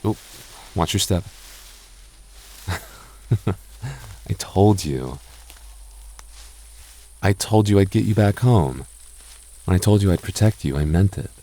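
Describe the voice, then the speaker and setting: A man voicing a gentle, shy goth boyfriend in an ASMR roleplay, soft-spoken and stammering as he reassures his listener. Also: sits low, animated, slow, long pauses, full character voice. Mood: relieved. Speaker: voice actor.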